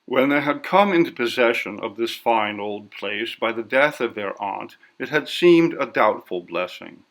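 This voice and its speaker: A man reading a story as scripted narration in a measured, literary delivery. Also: mid-range voice, lively intonation, a bit bright. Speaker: audiobook narrator.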